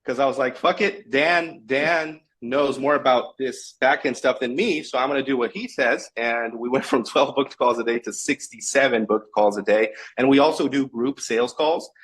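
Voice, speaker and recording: A man's voice: mid-range voice, normal speed, hardly any pauses, a bit bright, slightly rough, poor audio. A Brazilian man whose first language is Portuguese, talking earnestly and plainly in English on a casual video call and often correcting himself. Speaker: guest presenting a sales case.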